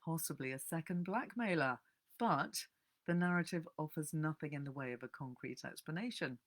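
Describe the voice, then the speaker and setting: A woman's voice: low-pitched, moderate pace. A woman giving an informal talk about books to an audience, chatty, with frequent ums and small self-corrections.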